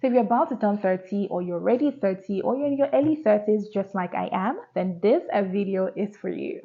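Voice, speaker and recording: A woman's voice: mid-range voice, animated, brisk, gravelly, narrow, tinny audio. A woman, talking candidly to her vlog audience and asking rhetorical questions. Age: 30s. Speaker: vlogger. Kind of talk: casual reflective talk to camera.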